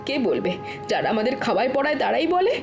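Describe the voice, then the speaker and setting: A woman's voice: on the high side, very animated, fast, gravelly, over music. An actress playing an aggrieved, hard-working middle-aged village housewife and mother in a fairy tale cartoon, with a complaining, plaintive manner. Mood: scolding.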